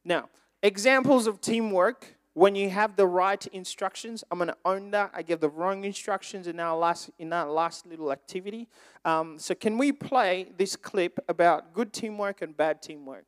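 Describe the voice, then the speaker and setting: A man whose first languages are Swahili and French, preaching informally to young people with conversational, animated storytelling and questions to his listeners. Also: sits high, normal speed, smooth, dry recording. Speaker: preacher speaking to a youth group. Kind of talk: informal sermon with personal anecdotes.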